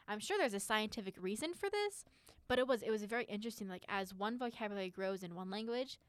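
A young college woman talks eagerly and earnestly in a casual podcast chat. Her pitch sits in the middle range. The recording is dry and close-miked. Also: lively intonation, fast, no echo. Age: young adult. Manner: enthusiastic, talkative, earnest.

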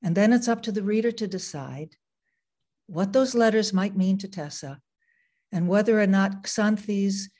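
A woman's voice: medium pitch, a little mellow. Woman author, native Greek speaker, reflective, on a video call, with lots of ums. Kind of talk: reading aloud, then talking about her writing.

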